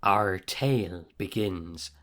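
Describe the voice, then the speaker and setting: Slow, boastful captain. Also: male voice, low voice, fairly bright tone. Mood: dramatic storytelling. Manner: grandiose, theatrical, slow storytelling. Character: boastful swashbuckling male pirate captain telling tall tales. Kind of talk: audio play acting.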